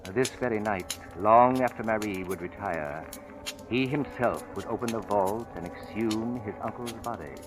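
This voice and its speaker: A man's voice: on the low side, normal speed, lightly acted. A man playing a suave, pompous crime writer, telling a tale floridly in 1940s radio drama style. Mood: grave suspense. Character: suave, theatrical male crime writer and storyteller. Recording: old radio recording with music. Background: dramatic music.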